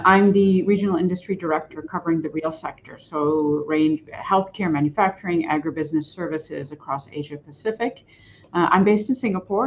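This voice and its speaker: Low-voiced woman on a muffled call. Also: slight background noise. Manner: enthusiastic, with repeated words and fillers. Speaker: panelist, regional director. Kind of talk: self-introduction on a panel.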